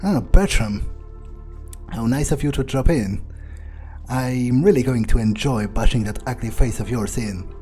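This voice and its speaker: A man voicing a cocky, vindictive vampire in a video game roleplay, halting and foul-mouthed as he taunts and threatens. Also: medium pitch, dark tone, faint music behind, lightly acted. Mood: taunting menace. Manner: halting, self-correcting, swearing, taunting.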